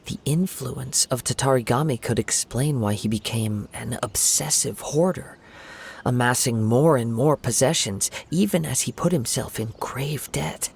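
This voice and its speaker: An actor voicing a calm, thoughtful young wandering swordsman in a video game, speaking in measured, formal phrases. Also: mid-range voice, vocal fry, breathy edge. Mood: grave, watchful. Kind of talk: video game voice acting, scripted dialogue. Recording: game music behind the voice.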